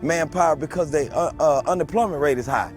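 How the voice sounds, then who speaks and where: A man talks loosely and haltingly outdoors, stopping for fillers as he answers. His voice sits a little high for a man, and music plays clearly behind him. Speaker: interviewee. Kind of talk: casual interview answers.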